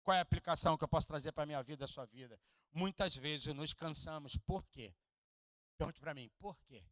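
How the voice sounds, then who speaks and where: A man with a Rio de Janeiro Portuguese accent preaching a sermon in a church, dropping to hushed low asides and rising to loud, high peaks, joking with the congregation and asking them to repeat lines after him. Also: fairly quick, no room sound, clean studio sound. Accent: Carioca Portuguese. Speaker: pastor. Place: church hall.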